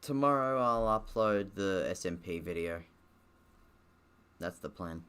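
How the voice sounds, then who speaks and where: A man's voice: low voice, lively intonation, unhurried, a bit bright, clear, resonant tone. A man doing let's play commentary, reading visual novel text aloud and breaking off for sarcastic, grumbling asides. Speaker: streamer playing and reading a game aloud.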